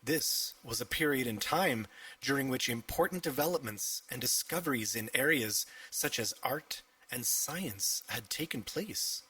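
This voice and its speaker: A man reading a children's audiobook as a cheeky, excitable young boy, with animated, emphatic delivery. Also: mid-range voice, a little slow, slightly rough, dry recording, far from the mic, full character voice, poor audio. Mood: impressed. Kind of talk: first-person children's audiobook storytelling.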